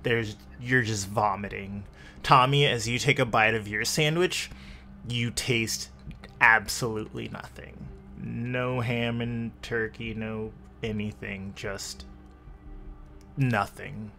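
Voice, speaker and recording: A man improvising second-person narration as the game master of a tabletop roleplaying game, with deliberate, dramatic phrasing. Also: on the low side, steady tone, creaky, pushed and bright.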